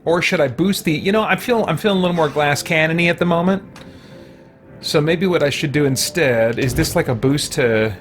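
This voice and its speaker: A man's voice: fairly high pitch, fairly quick. A man doing casual live gameplay commentary as a streamer, thinking aloud in a rambling, hesitant way. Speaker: game streamer and host. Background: game music.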